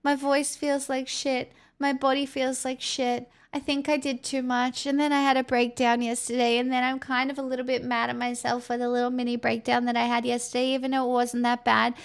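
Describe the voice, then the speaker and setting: Young Australian woman streamer, frank and rambling, a touch high, with a gravelly edge. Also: flat delivery, fairly quick, few pauses. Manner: rambling, frank, talks to chat. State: voice feels strained. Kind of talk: casual live-stream chat.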